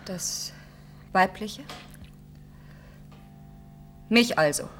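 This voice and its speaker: A woman's voice: mid-range voice, very slow, a little hiss or hum. An actress playing a brave, composed woman resigned to sacrifice in a science-fiction radio play. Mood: resolved.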